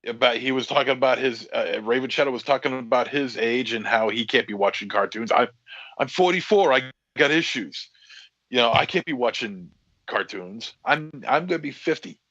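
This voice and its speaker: A man's voice: medium pitch, normal speed, a bit bright, no room sound, muffled recording. A man nearing fifty co-hosting a podcast, talking emphatically in casual chat. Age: 40s. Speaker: podcast co-host.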